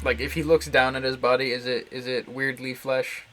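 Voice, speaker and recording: A man's voice: mid-range voice, level tone, moderate pace, sharp tone. A man giving casual, rambling live commentary as he plays a visual novel, thinking aloud hesitantly. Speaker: streamer playing a visual novel. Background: game music.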